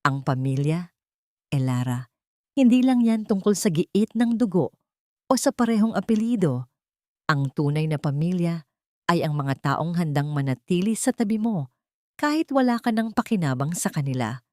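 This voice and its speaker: A woman narrator voicing a wise, protective male driver in an audio story, gentle and reassuring. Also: on the low side, very animated, moderate pace, no echo, studio quality. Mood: earnest.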